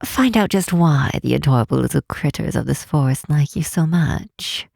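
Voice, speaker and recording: A woman voicing a curious, teasing half-elf tower master in a fantasy ASMR roleplay, speaking softly in an unhurried, formal, old-fashioned way. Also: deep voice, animated, dry recording, clean studio sound, heavily acted. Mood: pensive, curious. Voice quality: soft, breathy, husky.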